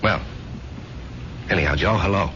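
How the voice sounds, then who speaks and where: An actor playing an earnest, self-doubting salesman in a 1970s radio drama, with an American accent and a hesitant, halting delivery. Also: deep voice, bright, forceful tone. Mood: earnest, nervous. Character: earnest, self-doubting salesman, husband, former enlisted man. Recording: dull, noisy old radio recording.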